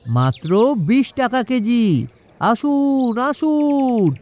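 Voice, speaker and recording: A man's voice: extremely high pitch, big pitch swings, very slow, darkish tone, heavily acted. A man voicing a sly, boastful swindling salesman in a children's cartoon, brash and wheedling. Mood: hawking loudly. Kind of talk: cartoon story voice acting.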